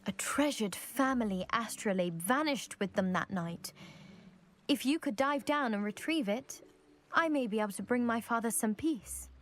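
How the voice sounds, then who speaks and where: A woman's voice: on the high side, expressive, normal speed, close to an everyday voice. A woman playing a proud, eager young lady from a scholarly family in a video game, with a posh British accent and precise, self-assured delivery. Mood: earnest. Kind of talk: video game character acting.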